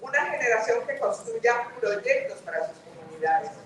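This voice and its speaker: A woman's voice: a little high, expressive, moderate pace. A woman giving an earnest, rhetorical speech at a conference, inviting young people to join a cause. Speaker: conference speaker. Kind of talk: formal persuasive address. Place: large conference hall. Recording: very echoey hall, distant mic.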